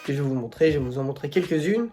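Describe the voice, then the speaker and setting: A man's voice: fairly high pitch, lively intonation, racing, dark tone, faint music behind. A man with a slight head cold gives casual vlog commentary as a host, hesitating and repeating words as he goes.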